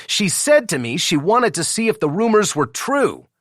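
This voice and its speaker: A man's voice: sits high, very animated, normal speed, a bit bright, no echo, clean studio sound, voice pushed up, full character voice. A man voicing a cocky, brash young male best friend who teases and needles, as audiobook character dialogue. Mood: cocky boasting.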